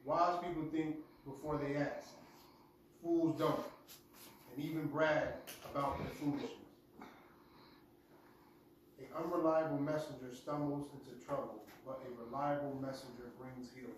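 A man's voice: mid-range voice, steady tone, moderate pace, long pauses. A middle-aged man leading a youth group, reading scripture and giving an informal Bible lesson. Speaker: group leader teaching youths. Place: large living room with stone fireplace, indoors. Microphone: phone camera a few metres away. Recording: echoey room, distant phone, background noise.

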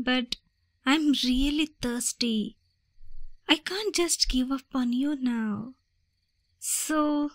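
A woman voicing a sweet, gentle vampire in a soft, hushed, reassuring ASMR roleplay, coaxing her human prey. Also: on the high side, no echo.

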